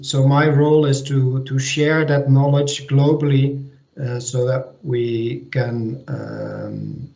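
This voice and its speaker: A man giving an online technical presentation as a technical manager, speaking hesitantly with many pauses and restarts. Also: mid-range voice, darkish tone. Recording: echoey lo-fi video call audio.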